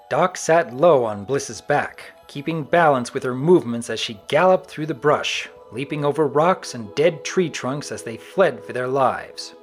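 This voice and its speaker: Male narrator for dramatic audiobook; very lively intonation, medium pitch, faint music bed. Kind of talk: dramatic scripted story narration.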